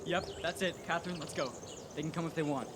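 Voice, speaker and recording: A man's voice: a little high, fairly even intonation, fast talker, lightly acted. Man playing a snappy, jittery teen sceptic, noisy outdoors. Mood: sarcastic, impatient. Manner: snappy, sarcastic. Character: sarcastic, jittery sceptical high schooler. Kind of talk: naturalistic audio drama acting. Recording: noisy outdoor recording with insects. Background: crickets, forest ambience.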